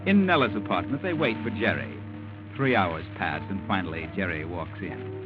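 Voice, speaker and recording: A lowish-pitched ominous crime storyteller narrates over prominent music. He delivers scripted narration in 1940s radio style on a muffled old recording. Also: animated, normal speed.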